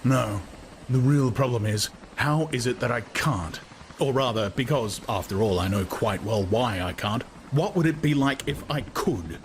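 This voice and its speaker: A man's voice: mid-range voice, expressive, unhurried, slight background noise, voice pushed up, close to an everyday voice. A man reading as a brooding discontented young man. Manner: hesitant, searching, derisive at times.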